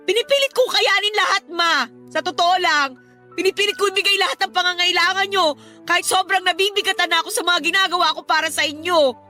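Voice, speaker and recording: A young adult daughter with a high voice in a Tagalog radio drama. She is dutiful and respectful, yet weary and resentful under her load. Soft music runs underneath. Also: fairly even intonation, quick pace. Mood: weary, pleading. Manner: respectful but reproachful.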